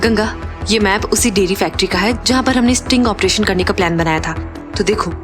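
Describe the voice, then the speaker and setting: A woman's voice: medium pitch, lightly acted. An actress playing a determined, eager young woman investigator in an audio drama, with an insistent, familiar manner. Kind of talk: Hindi audio drama acting.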